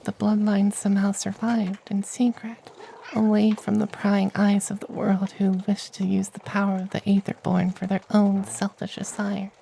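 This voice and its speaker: A performer voicing a tender, powerful femboy witch in a soft, partly whispered ASMR fantasy roleplay. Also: medium pitch, completely flat delivery, creaky, slight background noise, close to an everyday voice, low-quality recording. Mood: awed. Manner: hushed, partly whispered, slow and soothing.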